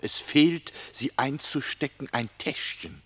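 A slow, trusting ram. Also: male voice, mid-range voice, sing-song, a bit bright, husky, big-room sound, exaggerated voice. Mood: earnest. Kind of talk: classic verse radio play.

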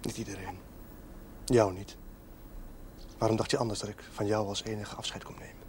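Acteur als sombere, dreigende jonge verschoppeling, lage stem. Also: male voice, lowish voice, little pitch movement, normal speed, natural voice. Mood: brooding, bitter. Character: embittered, menacing young male outcast, lovesick and brooding. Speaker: actor in a television drama. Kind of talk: 1970s Dutch television drama acting. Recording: noisy old television soundtrack.

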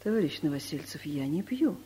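A woman's voice: sits low, animated, fairly quick, dark tone. An actress playing a stern, formal, reserved female boss in a vintage radio play. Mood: cold, formal. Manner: formal, curt, authoritative. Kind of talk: Soviet radio play acting. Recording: noisy vintage 1970s radio recording.

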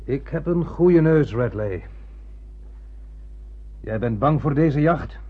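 Obsessed old space captain, really husky voice, defiant and preachy, dull lo-fi radio play sound. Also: male voice, mid-range voice, expressive, takes it slowly. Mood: brooding, visionary. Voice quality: husky, raspy. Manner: brooding, declamatory, poetic. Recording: muffled lo-fi radio play recording.

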